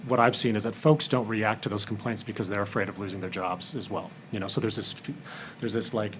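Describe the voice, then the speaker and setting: A man with a lowish voice speaks quickly in a casual roundtable, with faint noise behind him. He sounds earnest and uses colloquial, everyday phrasing. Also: steady tone, a bit bright. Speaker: panelist, filmmaker.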